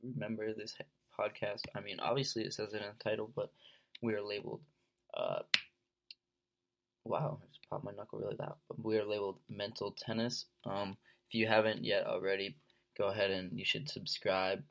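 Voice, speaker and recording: Young guy, blocked nose from a cold, low gravelly voice, rambling podcast host. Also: level tone, a little fast. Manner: rambling, filler-heavy, laid-back. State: stuffed-up head cold, sore throat. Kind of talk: casual solo podcast chat.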